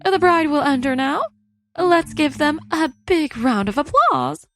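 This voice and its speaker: A chipper wedding attendant played by a woman in a manga drama. She is polite and formal, very high in pitch and animated, over faint music. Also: a little slow, creaky, exaggerated voice. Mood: formal, announcing. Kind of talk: manga story dub acting. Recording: music under the voice.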